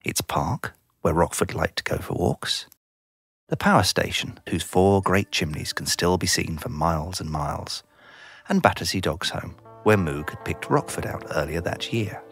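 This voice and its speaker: A man's voice: sits low, expressive, normal speed, gravelly, fairly bright tone, slightly rough. A man narrating a scripted children's story. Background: music.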